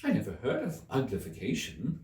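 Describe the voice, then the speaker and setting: A man's voice: medium pitch, huge pitch swings, fairly quick, a little mellow, pitched above the performer's own voice. A man voicing a curious, polite young girl, inquisitive and a little prim, in a children's storybook. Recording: echoey room, distant microphone.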